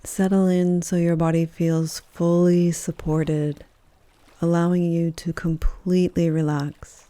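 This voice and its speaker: A woman leading a guided yoga nidra meditation in a calm, gentle, measured voice with soft pauses between phrases. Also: lowish voice, dry recording. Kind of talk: guided meditation, soothing scripted narration.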